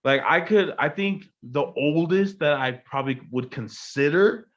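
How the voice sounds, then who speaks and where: A man's voice: fairly high pitch, unhurried, dull audio. Young man telling a story casually. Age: 20s. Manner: animated storytelling, frequent "you know what I mean". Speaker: guest. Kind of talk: casual chat.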